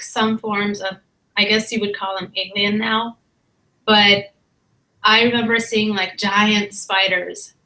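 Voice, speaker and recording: A young woman from Ethiopia tells her own life story as a guest, relaxed and open, with frequent pauses and fillers. Her voice is bright and forward, and her pitch sits at a middle level for a woman. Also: poor audio. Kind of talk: casual personal storytelling.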